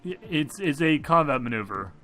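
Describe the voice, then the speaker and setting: A man's voice: a little high, animated, moderate pace, bright, forceful tone, faint music behind. A man acting as game master, narrating a tabletop roleplaying game in an informal, joking way with some swearing.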